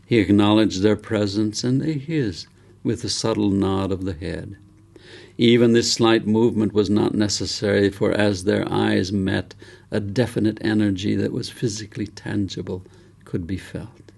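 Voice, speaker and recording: A man reading a novel aloud in a measured, deliberate storytelling manner. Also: on the low side, fairly even intonation, gravelly, darkish tone. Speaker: author reading from his novel. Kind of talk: scripted audiobook narration.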